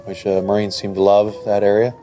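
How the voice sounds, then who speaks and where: Male host, deep and mellow, asks long rambling questions in a polite way. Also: monotone, a little fast, slight background noise. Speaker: podcast host. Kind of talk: interview questions.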